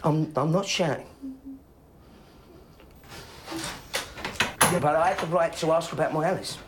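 A man's voice: fairly high pitch, quick pace, background noise, close to an everyday voice. An actor playing a volatile, menacing middle-aged father in a naturalistic film, aggrieved, repeating himself and swearing. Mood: pleading, strained. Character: volatile, menacing father of a troubled teenage son.

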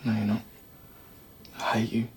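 A young male actor playing a sullen, accusing teenage son in naturalistic film drama, terse and low-key. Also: completely flat delivery, moderate pace, noisy background, close to an everyday voice. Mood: bitter.

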